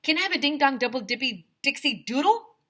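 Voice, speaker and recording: Lady doing a bright-voiced impatient kid from a storybook, begging and pushy. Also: sits high, lively intonation, brisk, slight background noise, pitched above the performer's own voice. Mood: insistent. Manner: pleading, insistent. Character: impatient schoolchild craving ice cream.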